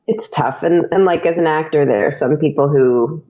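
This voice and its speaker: A woman's voice: low voice, normal speed, mellow. A young woman, a podcast creator and actor, chatting casually as a guest and laughing often between fillers. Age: young adult. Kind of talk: casual interview chat. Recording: dull lo-fi sound.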